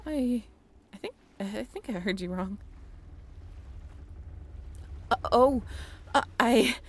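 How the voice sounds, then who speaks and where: A woman playing a shy, flustered young former college roommate in a roleplay audio drama, speaking in a halting, bashful, conversational way. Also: on the high side, slightly rough.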